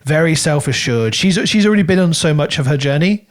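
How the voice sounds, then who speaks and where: A man's voice: a little high, lively intonation, a little fast. A man co-hosting a podcast, talking through a book in a casual, conversational and rambling way. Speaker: podcast co-host reviewing a book.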